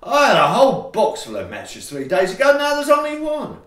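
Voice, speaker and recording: A man as a melodramatic, high-voiced Victorian printer. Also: huge pitch swings, a little fast, a bit bright, recorded from a distance, slight background noise, full character voice. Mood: sleepy, grumbling. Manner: grandiloquent, melodramatic, plaintive. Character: grumbling, indignant Victorian printer lodger, a man. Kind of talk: theatrical farce acting for audio play. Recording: roomy echo, away from the mic.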